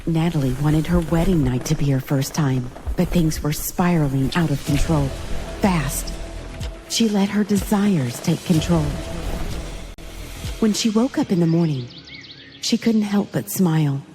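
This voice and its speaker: A woman's voice: low voice, measured pace. A woman giving a dramatic, suspenseful scripted narration of a story. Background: music.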